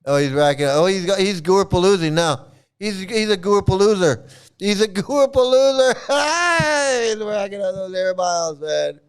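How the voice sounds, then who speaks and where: A man's voice: high-pitched, animated, moderate pace, clean tone. A man ranting sarcastically as a commentator over video clips, often laughing and repeating his jabs. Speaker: YouTube commentator.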